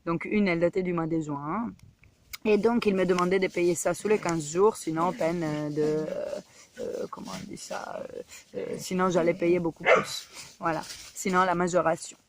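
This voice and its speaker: A woman's voice: on the low side, steady tone, moderate pace, crowd noise. A woman from Italy with an Italian accent, talking earnestly in a casual video message, hesitant, with many fillers and restarts. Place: home in Guadeloupe.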